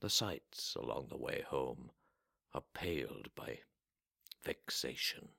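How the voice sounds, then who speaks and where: A man reading a literary story aloud in a solemn, deliberate way, breaking phrases with long pauses. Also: very deep voice, animated, creaky, sharp tone. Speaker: narrator reading a translated story.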